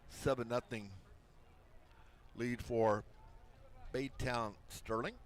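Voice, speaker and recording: A man with a big, loud voice calling high school football play-by-play from a press box, mixing calls with folksy jokes. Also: steady tone, slow pace. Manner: folksy, joking banter between calls. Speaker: play-by-play announcer. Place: press box at outdoor stadium.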